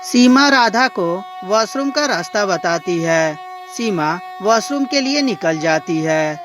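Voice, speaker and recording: A woman's voice: medium pitch, animated, slow. A woman storyteller reading a scripted moral tale as narrator. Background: background music.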